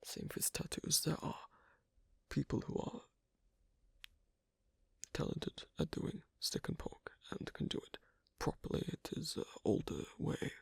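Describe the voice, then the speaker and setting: Breathy, whispering asocial werewolf, acted out. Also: mid-range voice, big pitch swings, no echo, exaggerated voice. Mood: reserved, gently advising. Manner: halting, soft-spoken, hesitant. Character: asocial werewolf on a first date, guarded, shyly affectionate. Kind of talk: ASMR roleplay, one-sided intimate conversation.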